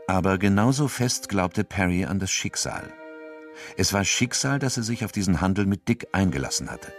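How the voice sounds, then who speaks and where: The sober narrator of a literary crime radio play. The man's voice is very low, and soft music plays faintly behind it. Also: lively intonation, moderate pace.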